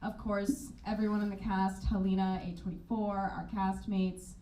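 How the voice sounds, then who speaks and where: A woman actor answering at a panel Q&A, lively and casual, laughing often. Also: mid-range voice, moderate pace. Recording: echoey, distant, faint noise.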